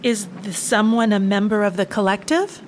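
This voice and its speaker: A woman's voice: medium pitch, fairly even intonation, moderate pace, a little hiss or hum, lightly acted. A woman playing an officious, protective receptionist at a spirituality center, polite but dismissive, in an audio play. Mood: politely officious.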